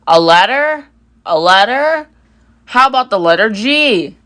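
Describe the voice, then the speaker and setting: A vain, excitable storybook superhero monster voiced by a woman. The voice is bright and forward, at an average pitch. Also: a little slow. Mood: excited.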